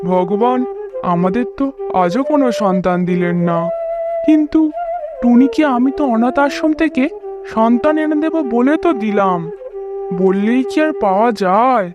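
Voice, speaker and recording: A man's voice: very high voice, expressive, moderate pace, muffled recording, over music. A man voicing a caring bird husband in a children's cartoon, speaking in an earnest, soothing way. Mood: troubled pondering.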